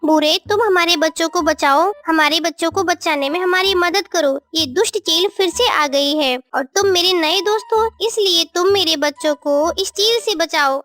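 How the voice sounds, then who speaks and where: Casting: woman as storybook mother crow, naive and stubborn, childlike very high pitch, emphatic and pleading, music underneath. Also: moderate pace, hardly any pauses, a bit bright. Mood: alarmed, pleading. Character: naive, stubborn young mother crow, female, devoted to her chicks.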